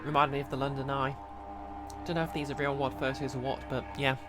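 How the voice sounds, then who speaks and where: A man's voice: mid-range voice, little pitch movement, fast. A man streaming a visual novel, reading scripted narration aloud with casual asides. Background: music.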